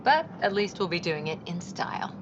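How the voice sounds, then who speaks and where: Wry expedition officer: seasoned, relaxed manner, lowish female voice, noisy ship ambience. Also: moderate pace. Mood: wry, upbeat. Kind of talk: video game voice acting. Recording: muffled, loud vehicle ambience behind.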